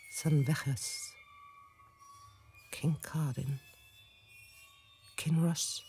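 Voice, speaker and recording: An actress playing a haunted middle-aged woman in an intimate radio drama monologue, halting and brooding, drifting into breathy near-whispers. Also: sits low, warm tone. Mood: trancelike. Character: haunted middle-aged woman recalling her stern father and lost child.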